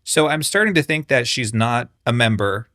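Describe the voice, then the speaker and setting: A man's voice: medium pitch, expressive, normal speed, bright, forceful tone, dry recording. Man, commentary YouTuber, blunt, sarcastic, swears, dry close sound. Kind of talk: reaction commentary.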